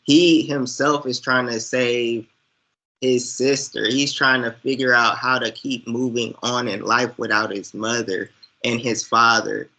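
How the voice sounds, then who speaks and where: A man with a bright, forward voice at a middling pitch speaks as a guest in a casual chat. He goes slowly and haltingly, reflecting aloud in winding thoughts. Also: level tone, poor audio. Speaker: guest, online movie reviewer.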